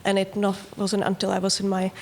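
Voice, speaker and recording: Flat-voiced woman with a Czech accent. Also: medium pitch, brisk, gravelly, slight background noise. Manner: matter-of-fact, slightly non-native grammar. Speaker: factual TV producer.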